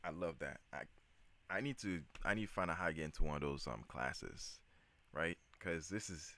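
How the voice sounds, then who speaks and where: A man's voice: extremely low pitch, moderate pace, sharp tone. A man hosting his channel, casually reacting to a video in a hyped, slangy way and repeating himself.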